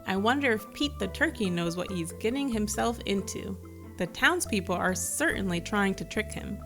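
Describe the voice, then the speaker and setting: Female storyteller for a kids' read-aloud, mid-pitched voice, engaging and addressing young listeners, music underneath. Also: expressive, moderate pace. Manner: engaging, asks the listener questions. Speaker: children's storybook reader.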